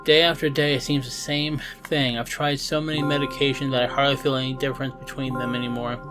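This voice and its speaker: A man's voice: medium pitch, flat delivery, fast talker, close to an everyday voice. A man voicing a sad, anxious young girl narrating her bleak life in a visual novel, wistful and confiding, with music behind him. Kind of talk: reading visual novel dialogue aloud.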